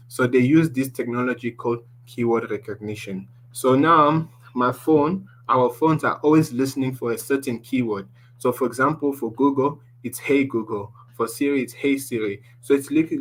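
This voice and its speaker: A man with a deep voice presenting a tech lesson, walking through slides and often restarting his sentences. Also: fairly bright tone, rough recording. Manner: explaining slides, restarts often, ends points with "basically".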